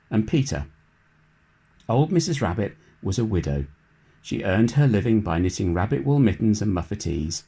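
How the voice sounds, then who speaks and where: A man reads a children's storybook aloud in a fairly low voice. His phrasing is measured and expressive, as a scripted narrator telling a tale to young listeners. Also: slightly rough. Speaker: storybook reader.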